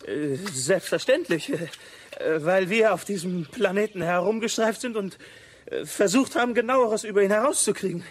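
High-voiced exasperated cynic. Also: male voice, normal speed, breathy edge. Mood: exasperated, scornful. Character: exasperated, cynical male space traveler, fatalistic and blunt. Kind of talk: radio play acting.

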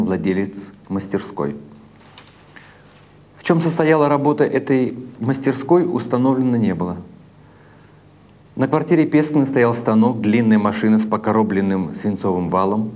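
A man narrating literary short stories as a dramatic audiobook, in a measured, deliberate way with expressive phrasing. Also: lowish voice. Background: music behind the reading.

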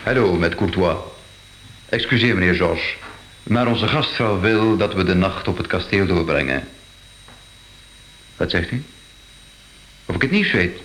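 Low-voiced sceptical exhibition organiser. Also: male voice, expressive, a little slow, long pauses, a bit bright, low-quality recording. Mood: wry, polite. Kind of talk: radio drama acting.

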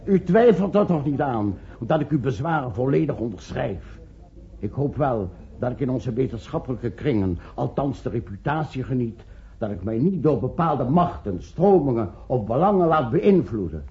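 A man acting an earnest, diplomatic professor in a Dutch radio play, speaking in formal, measured oratory. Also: mid-range voice, lively intonation, dark tone, a little hiss or hum, natural voice. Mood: earnest, persuasive. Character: earnest, diplomatic professor pleading with scientific colleagues. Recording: dull, lo-fi old radio recording.

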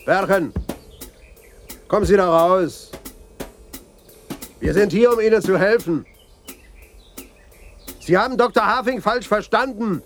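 An actor playing an urgent, blunt, exasperated police detective in a German radio crime drama. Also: male voice, high-pitched, slow pace, long pauses, faint music behind. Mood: urgent, pleading.

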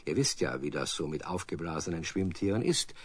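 A man's voice: sits low, lively intonation, fairly quick, slightly rough. A man narrating a children's radio play as a storyteller, speaking directly to young listeners. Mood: storytelling.

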